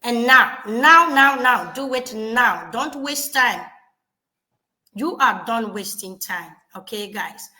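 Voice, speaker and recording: A woman's voice: on the high side, animated, takes it slowly, pushed and bright. A woman co-hosting a motivational talk show, delivering an emphatic, preachy pep talk full of rhetorical questions aimed straight at the viewer. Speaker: co-host.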